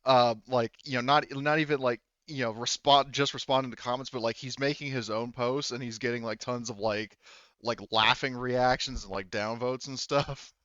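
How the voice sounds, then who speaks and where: Halting male game master, slightly muffled sound. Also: mid-range voice, steady tone, a bit bright, smooth, no echo. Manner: halting, rambling, casual swearing. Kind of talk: improvised tabletop game narration, casual chat.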